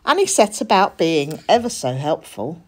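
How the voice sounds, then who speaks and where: A woman reading a children's story aloud in an expressive narrating style. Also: on the low side, normal speed. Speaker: storybook reader.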